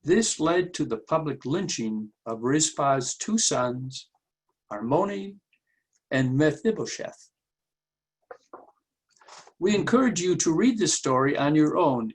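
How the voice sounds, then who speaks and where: A man giving a prepared introduction to a Bible story in a measured, explanatory way, as a church reader. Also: medium pitch, low-quality recording.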